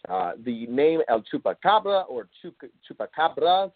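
Male host, native English speaker, halting informal explainer, phone-like and roomy sound. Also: fairly high pitch, big pitch swings. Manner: halting, frequent filler pauses. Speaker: show host. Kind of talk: informal solo explainer monologue. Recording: roomy, phone-like tinny line.

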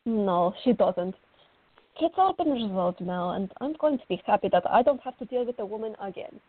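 A woman's voice: mid-range voice, expressive, normal speed, slightly rough. An actress playing a fiery, outspoken young Greek woman artist in a radio play, on a thin recording. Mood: wary, grumbling. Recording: thin, phone-like audio.

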